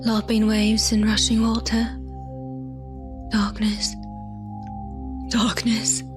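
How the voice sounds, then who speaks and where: An actress in an audio drama playing an entranced woman, speaking in a hushed, trance-like way. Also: on the high side, completely flat delivery, over music. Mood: distant, dazed. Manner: slow, hushed, trance-like delivery.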